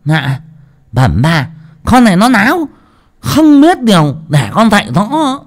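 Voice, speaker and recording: Man doing a high, lisping spiteful cook woman. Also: huge pitch swings, slow pace, heavily acted. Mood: eager, spiteful. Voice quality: mispronouncing, lisping speech. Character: spiteful female kitchen servant with speech impediment.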